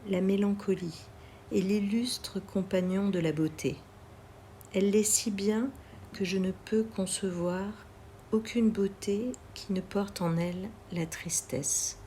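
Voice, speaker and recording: A woman reads literary poetry aloud in a measured, deliberate way, pausing between phrases. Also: medium pitch, steady tone, warm tone, slight background noise. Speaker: poetry reader.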